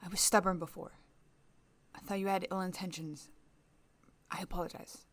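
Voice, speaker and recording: A woman's voice: lowish voice, level tone, normal speed, lightly acted. A woman playing a stubborn, proud captain in an audio drama, terse and insistent. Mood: contrite. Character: stubborn, proud female captain recovering from surgery.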